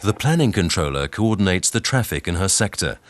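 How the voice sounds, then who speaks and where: A man reading scripted documentary narration in a measured, instructional way. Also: deep voice, very animated, noisy background. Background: background music.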